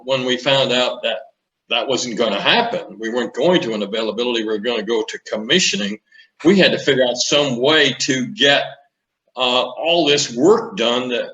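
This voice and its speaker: A man in his eighties reminiscing in an interview, speaking in a measured, deliberate way. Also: medium pitch, fairly bright tone. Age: 80s. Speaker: interview guest, retired naval commanding officer. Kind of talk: conversational reminiscence. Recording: echoey room sound.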